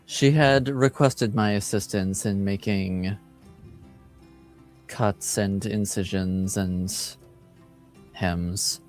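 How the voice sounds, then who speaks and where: A man's voice: fairly low pitch, steady tone, very slow, pauses often, a little mellow, soft background music. A man voicing an emotionless, courteous Tranquil mage in a fantasy tabletop game, with a detached, formal manner. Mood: matter-of-fact.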